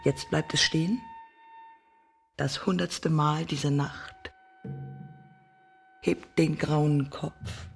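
A weary, brooding figure murmurs fragments in an experimental radio play. The voice sits in a middle register and has a strong creak. The delivery is sparse and deliberate, over faint music. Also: mid-range voice, fairly even intonation. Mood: hushed, deliberate.

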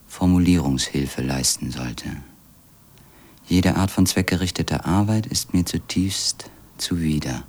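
An actor playing a brooding, wearily proud former editor who narrates a literary radio drama in a halting manner. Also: male voice, sits low, darkish tone. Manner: halting, repeats words, trails into murmurs. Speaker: narrator and protagonist of a radio play.

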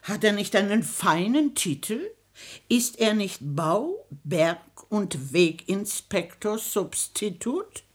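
A woman's voice: mid-range voice, very animated, takes it slowly. An actress playing a fussy, bossy, doting old grandmother in a theatrical radio comedy, exclaiming and scolding. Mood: coaxing. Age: older. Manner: scolding, exclamatory, chatty, old-fashioned stage German.

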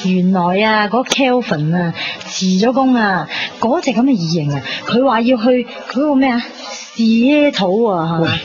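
A woman's voice: on the high side, expressive, normal speed, few pauses, close to an everyday voice. An actress with a Hong Kong Cantonese accent playing a chatty, bossy, teasing young female friend in a 1990s radio drama. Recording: dull radio recording, noisy background.

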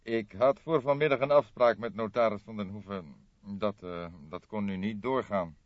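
A man voicing a courteous, apologetic gentleman in his forties in an audiobook, speaking formally and emphatically. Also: fairly low pitch, fairly even intonation, normal speed, no echo, pitched above the performer's own voice, lightly acted. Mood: formal, forthcoming. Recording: dull, dry lo-fi audiobook recording.

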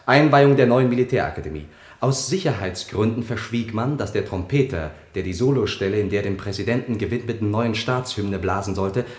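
A lowish male voice sounds in an echoing room. It is an actor as a formal, politely insolent servant in a radio drama, speaking deliberately and with quiet irony to his master. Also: close to an everyday voice. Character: politely insolent male servant, the ruler's truth-telling diarist. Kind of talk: German radio play acting. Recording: roomy, echoing studio sound.

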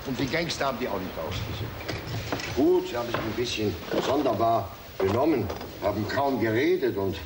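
A good-natured, slightly puzzled working man, played by an actor, with a mid-pitched voice that moves about a lot. It is an easygoing radio-play delivery in a roomy echo. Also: animated, fairly quick, noisy background, natural voice. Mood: bemused. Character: good-natured, puzzled yard worker, a family friend. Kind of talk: German children's radio play. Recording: roomy echo, loud music bed.

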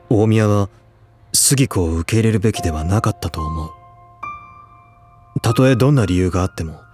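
An actor playing a serious, earnest teenage schoolboy in an audio drama, speaking gravely and tersely. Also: male voice, sits low, natural voice. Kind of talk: Japanese audio drama, anime-style acting.

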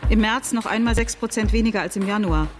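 An actress playing a cautious, prim bourgeois woman in a German radio drama, measured and formal in her speech. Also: medium pitch, soft background music, natural voice. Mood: worried. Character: prim, cautious moderate bourgeois woman valuing order and compromise.

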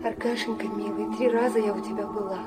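An actress playing a gentle, anxious, affectionate middle-aged mother in an audio drama, tender and fussing. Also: mid-range voice, fairly even intonation, fast, loud music behind the voice, natural voice. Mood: tender, pleading. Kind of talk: theatrical audio drama acting. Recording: faint noise and ambience behind.

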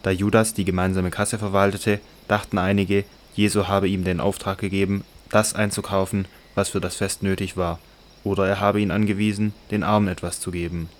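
A man narrating a scripted radio drama in a measured, even way. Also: deep voice.

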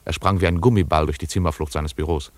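An actor playing an investigator who recalls his case in measured, literary first-person radio drama narration. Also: a bass voice, steady tone, no echo. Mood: reflective.

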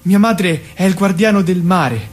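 A man's voice: high voice, measured pace. An actor playing an anxious, testy young man torn by desire in an audio drama, with lyrical rhyming lines. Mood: fearful, narrating. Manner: lyrical, rhyming delivery with sharp retorts. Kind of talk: theatrical rhymed dialogue in an audio drama.

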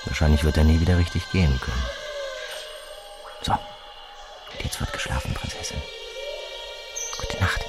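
An actor playing a hard-edged police detective in a radio play, talking in a dry, clipped way. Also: male voice, a bass voice, measured pace, pauses often. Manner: dry, clipped, telling a violent story as a bedtime tale. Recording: heavy music under voice.

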